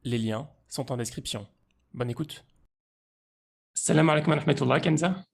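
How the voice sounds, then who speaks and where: Gravelly husky male podcast host. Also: a little high, moderate pace. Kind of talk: scripted intro then casual interview.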